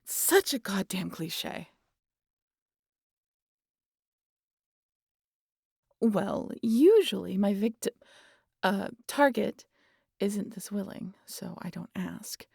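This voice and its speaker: A woman playing a sardonic, guarded vampire in an intimate audio roleplay, with a gravelly, husky voice and a teasing, exasperated manner that slips into breathy whispers. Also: medium pitch, expressive, slow, darkish tone, no room sound, clean studio sound, heavily acted. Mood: wry, reluctant. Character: sardonic, guarded female vampire hypnotizing an injured hunter.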